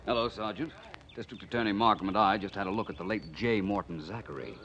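A man's voice: lowish voice, quick pace, a bit bright, slight background noise, close to an everyday voice. An actor playing a suave, unruffled male private detective, polite and urbane in 1940s radio style. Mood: coolly businesslike. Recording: muffled old radio recording.

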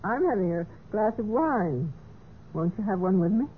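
A woman acting a gentle, concerned wife in a vintage radio mystery. Her medium-pitched voice moves animatedly up and down, heard through a narrow, tinny old recording. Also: expressive, normal speed, a little hiss or hum, close to an everyday voice. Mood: mildly concerned.

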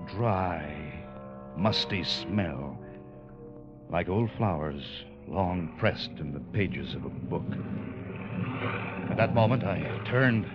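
Under loud music on a dull old radio recording, a bewildered ship's officer facing disaster. His voice is tense and dramatic, shifting between grave storytelling and sharp urgency. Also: on the low side. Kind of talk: 1950s radio drama acting.

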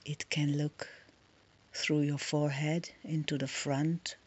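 A woman leading a guided meditation in a soft, soothing, breathy voice, leaving long pauses between short phrases. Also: low-pitched, flat delivery, muffled recording.

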